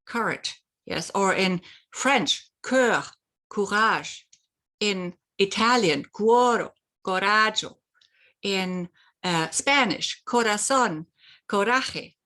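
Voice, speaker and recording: Unhurried German-native woman. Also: medium pitch, bright, forceful tone. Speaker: host. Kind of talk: reflective solo talk with guided exercise.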